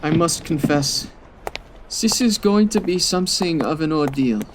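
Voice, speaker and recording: A man's voice: on the high side, lively intonation, a little slow, slight background noise. Stately, anxious, impatient king. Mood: impatient, uneasy. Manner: formal, regal. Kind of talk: comedy audio drama acting.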